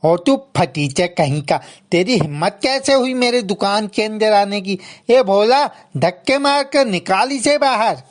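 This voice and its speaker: A haughty village goldsmith from a storybook tale, acted out by a woman. He speaks slowly and theatrically, drawing out his words like a man used to being obeyed. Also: medium pitch, animated, voice pushed up, close to an everyday voice. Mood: angry, contemptuous. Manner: contemptuous, scolding. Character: angry, haughty male village goldsmith, later humbled. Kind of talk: dramatized children's story dialogue.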